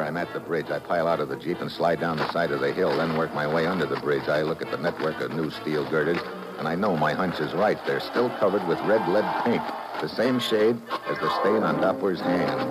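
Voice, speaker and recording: A man's voice: very deep voice, a little fast, over loud music, poor audio. A man with an American accent playing a tough, clipped special agent in a 1950s radio thriller, on an old recording. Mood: tense, terse. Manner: clipped, hard-boiled. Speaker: lead actor and first-person narrator.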